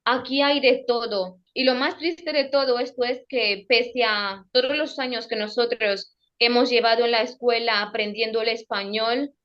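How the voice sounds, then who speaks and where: Woman, young adult, Equatoguinean Spanish accent, careful, self-correcting, fairly high voice, dull lo-fi call audio. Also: a bit bright. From Equatorial Guinea. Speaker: guest, radio presenter. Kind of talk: measured academic explanation.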